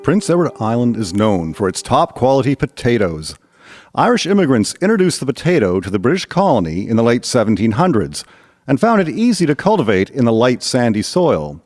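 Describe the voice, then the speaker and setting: A man's voice: mid-range voice, very animated, fairly quick, darkish tone, dry recording, clean studio sound. A man narrating a scripted historical radio drama in a storytelling style.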